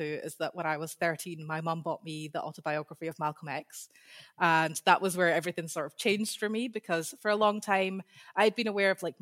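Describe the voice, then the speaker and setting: Female interview guest, young adult, Scottish accent, slightly low register, casual personal storytelling. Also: fairly bright tone. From Scotland. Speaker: podcast guest, history researcher.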